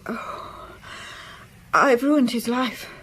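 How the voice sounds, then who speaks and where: An actress playing an anxious, guilt-ridden village wife in a radio drama, halting and self-reproachful. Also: female voice, a little high, fairly bright tone, slightly rough, exaggerated voice. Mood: guilty, distressed.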